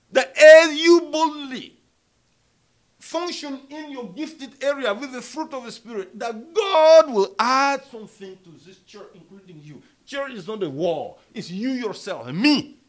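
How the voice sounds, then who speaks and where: A man preaching a sermon and leading prayer in a small church, speaking emphatically with a strong voice that rises loud on key words. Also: extremely high pitch. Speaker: pastor.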